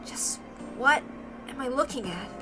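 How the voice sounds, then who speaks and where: A woman voicing a troubled amnesiac in halting, broken phrases, in pain and confused by foreign memories. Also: high voice, animated, music in the background. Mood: anguished, confused. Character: troubled amnesiac Toya, anguished by splitting headache and foreign memories.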